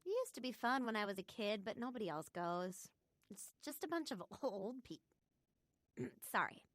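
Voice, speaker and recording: Radio drama: sulky young girl, reluctant, slightly high voice. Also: very animated, a little slow, fairly bright tone, no room sound. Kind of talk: audio drama dialogue.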